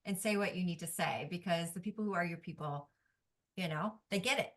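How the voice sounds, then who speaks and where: A woman's voice: fairly low pitch, fairly quick, a bit bright. A woman guest, a coach, talking earnestly and reflectively in a casual conversation. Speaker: guest, executive function coach and doodle artist.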